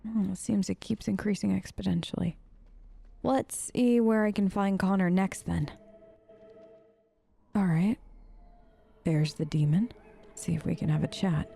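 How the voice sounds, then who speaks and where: A woman doing chatty, playfully expressive role-play commentary on a video game. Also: mid-range voice, a little slow, creaky, long pauses, slightly rough. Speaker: let's play streamer. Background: faint game music.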